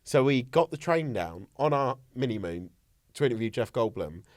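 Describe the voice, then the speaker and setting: A man's voice: mid-range voice, lively intonation, moderate pace, no room sound, clean studio sound. A young man hosting a podcast, chatting casually and joking around with silly impressions. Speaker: podcast host. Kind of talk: casual chat.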